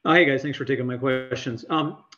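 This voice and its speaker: A man's voice: mid-range voice, fairly even intonation, fairly quick, fairly bright tone. Man analyst on dull call audio. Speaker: analyst asking questions. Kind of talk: informal earnings call question.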